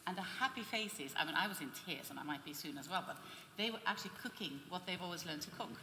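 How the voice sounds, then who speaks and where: A woman with a low-pitched voice speaks on a panel, rambling warmly through stories and restarting her sentences. She is heard through a stage microphone in a big echoing hall, with a faint hum behind her. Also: animated, quick pace. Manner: chatty storytelling with many restarts. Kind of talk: panel discussion answer. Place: large trade fair hall with reverb.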